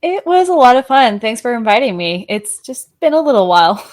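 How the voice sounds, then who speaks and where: A woman's voice: on the high side, big pitch swings, brisk. Easygoing woman podcast guest. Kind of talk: casual podcast chat.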